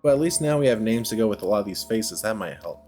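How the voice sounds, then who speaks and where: A man's voice: lowish voice, expressive, fast talker, a little mellow. A man giving casual let's play commentary, thinking aloud and often questioning himself as he goes. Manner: musing, self-questioning. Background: background music.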